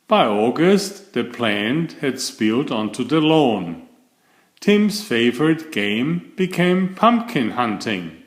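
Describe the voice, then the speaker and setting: Slow, lilting male storyteller in echoey room. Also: mid-range voice, sing-song. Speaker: storyteller, children's author and channel host. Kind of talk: read-aloud children's bedtime story narration.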